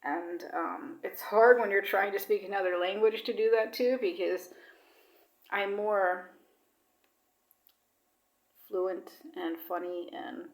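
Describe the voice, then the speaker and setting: A woman's voice: mid-range voice, fairly even intonation, takes it slowly, long pauses, roomy sound. A woman vlogging in casual, rambling talk with lots of fillers, now and then dropping Swedish words into her English. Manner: chatty, rambling, with many fillers. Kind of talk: casual personal chat.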